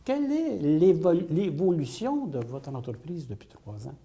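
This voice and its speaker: A woman hosting a TV interview, asking questions in a measured, formal way. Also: low-pitched, sing-song, warm tone. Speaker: TV host, interviewer.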